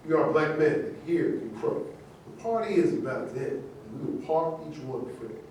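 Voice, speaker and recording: A man's voice: fairly high pitch, animated, normal speed, darkish tone, natural voice. Echoey genial stage host played by a man. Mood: genial, inviting. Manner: declamatory, inviting. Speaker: actor in a staged reading. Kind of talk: theatrical rhymed verse, staged reading. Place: live staged reading in a roomy space. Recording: very echoey room, distant mic, faint noise.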